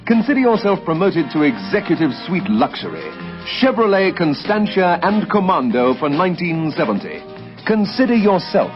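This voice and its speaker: Old radio ad guy, punchy, voice swoops around, bit high, muffled w music. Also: sing-song, moderate pace. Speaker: commercial announcer. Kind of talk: vintage radio car advertisement.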